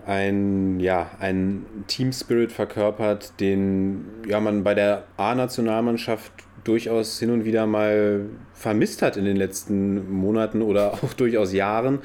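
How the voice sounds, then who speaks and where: A man's voice: fairly low pitch, fairly even intonation, unhurried, hardly any pauses, a little mellow. A man with a slight cold co-hosting a podcast, chatting loosely about football with frequent restarts. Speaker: podcast co-host. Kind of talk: casual football chat. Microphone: own podcast microphone.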